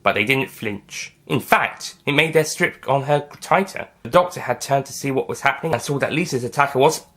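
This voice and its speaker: A man's voice: mid-range voice, a little fast, hardly any pauses, fairly bright tone. A man reading a scripted science-fiction adventure story as its author and narrator.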